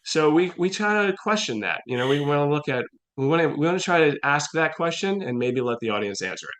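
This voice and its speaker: A man chatting casually as a playwright guest in an interview, thinking aloud with halting restarts. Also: a little high, expressive, a bit bright.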